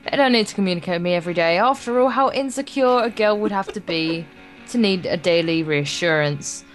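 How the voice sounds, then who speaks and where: A slightly low-voiced woman reads a visual novel aloud as a Let's Play host. She is informal and jokey, tripping over the text at times, with faint guitar music behind her. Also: fairly quick. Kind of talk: reading game text aloud with casual banter.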